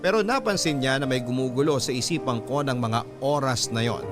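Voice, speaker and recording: A man's voice: medium pitch, brisk. A man with a Filipino accent reading a first-person story as a radio storyteller, in dramatic scripted narration. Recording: background music under the voice.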